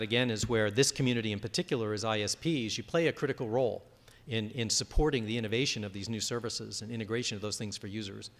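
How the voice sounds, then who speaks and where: A man's voice: lowish voice, a bit bright. A man giving a conference presentation and fielding audience questions. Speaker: conference speaker. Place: large conference room. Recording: reverberant hall.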